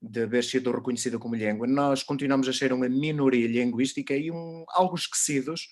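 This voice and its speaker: Man speaking casually in a video-call talk. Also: mid-range voice. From Miranda do Douro, northeast Portugal. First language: Mirandese. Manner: relaxed, loose, frequent hesitations and restarts. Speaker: teacher giving a conference talk. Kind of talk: informal lecture.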